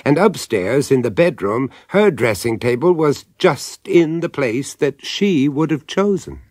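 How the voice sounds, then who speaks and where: Horror radio narrator,; mid-pitched, suave and wry, expressive storytelling, very dry studio sound. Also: male voice, measured pace, dry recording. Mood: suspenseful narration.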